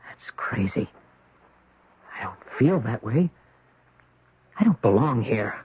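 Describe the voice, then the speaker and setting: An actor playing a wary young surfer in a 1970s radio drama, speaking and narrating in a dramatic, emphatic way. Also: male voice, mid-range voice, big pitch swings, slow. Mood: bewildered, agitated. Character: bewildered young surfer man, wary and agitated. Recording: dull, noisy 1970s radio recording.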